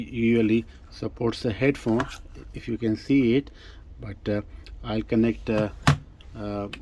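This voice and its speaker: A man's voice: medium pitch, a little mellow. A man who is not a native English speaker, casually demonstrating a gadget in a hesitant, step-by-step way. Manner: hesitant, halting, step-by-step explaining. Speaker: presenter demonstrating a vintage gadget. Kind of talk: casual unscripted product demonstration.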